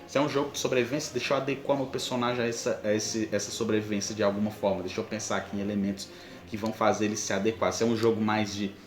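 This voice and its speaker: A man's voice: lowish voice, fairly even intonation, racing, echoey room. A man with a Brazilian accent hosting a podcast, explaining tips informally and speaking straight to the listener. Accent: Brazilian Portuguese. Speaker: podcast host. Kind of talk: conversational advice with examples.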